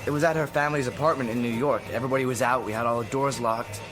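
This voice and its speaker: A man's voice: mid-range voice, fairly even intonation, racing, over music, natural voice. An actor playing a naive, lovesick sixteen-year-old boy in a radio drama, speaking hesitantly and earnestly. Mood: embarrassed, confiding.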